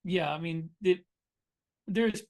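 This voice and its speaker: A man's voice: high-pitched, takes it slowly, darkish tone. A middle-aged man co-hosting a podcast as an author, talking casually in an anecdotal, explanatory way. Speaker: podcast co-host and science fiction author. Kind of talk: casual conversational discussion.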